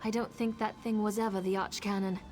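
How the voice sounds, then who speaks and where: A woman's voice: medium pitch, little pitch movement, brisk, darkish tone, faint music behind, natural voice. Earnest elven seer woman played by an actress. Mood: earnest, explaining. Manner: earnest, serious. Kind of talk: video game dramatic acting.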